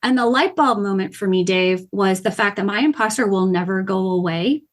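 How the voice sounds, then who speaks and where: A woman talking as a podcast guest, telling stories about her goals in an upbeat, animated way. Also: mid-range voice, moderate pace. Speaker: podcast guest, gamification coach. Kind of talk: casual podcast conversation.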